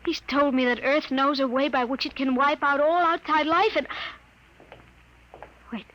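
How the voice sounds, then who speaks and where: A woman's voice: high voice, fairly even intonation, unhurried, fairly bright tone, lo-fi sound. A woman acting an anxious, proud young woman in a 1950s radio drama. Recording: faintly noisy old radio broadcast.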